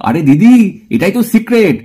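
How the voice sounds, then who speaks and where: A man's voice: high-pitched, big pitch swings, brisk, dark tone, slightly rough, exaggerated voice. A man voicing a cheeky, teasing, mock-polite young man doll in a puppet drama. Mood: upbeat, defensive.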